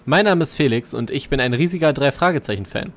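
A man's voice: medium pitch, expressive, fast, a little hiss or hum. A young man, co-hosting a podcast in casual chat. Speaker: podcast co-host.

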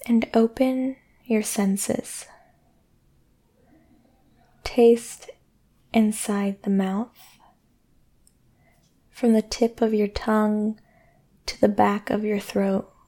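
A woman guiding a sleep meditation in a soothing, gentle way, speaking deliberately with long pauses. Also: mid-range voice, steady tone. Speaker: meditation guide and podcast host. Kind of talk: guided sleep meditation, yoga nidra.

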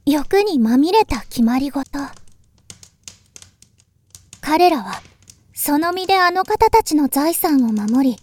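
Woman acting a high-voiced, formal younger sister. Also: sing-song, darkish tone, full character voice. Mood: earnest, solemn. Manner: formal, deferential, measured. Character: polite, earnest younger sister, gravely devoted. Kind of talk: Japanese voice drama acting.

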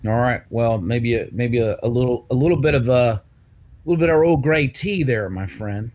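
A man's voice: mid-range voice, moderate pace, darkish tone. Rambling male news commentator. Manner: rambling, restarts and fillers. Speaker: publisher and segment host.

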